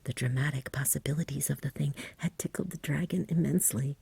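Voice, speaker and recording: A woman reading a bedtime story for ASMR, soft and whispery, gentle and soothing, close to the microphone. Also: sits low, a little fast, no echo. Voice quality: soft-spoken, whispery, breathy.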